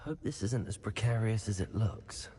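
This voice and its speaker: A man's voice: fairly low pitch, moderate pace, warm tone, close to an everyday voice. A young man playing a curious, polite wizard student in a video game. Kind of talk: video game character acting. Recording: long hall reverb, faint background noise.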